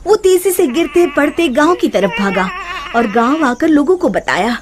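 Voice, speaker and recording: High-pitched, expressive woman storyteller. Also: normal speed, a crowd in the background. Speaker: story narrator. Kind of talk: scripted cartoon story narration. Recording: noticeable background noise.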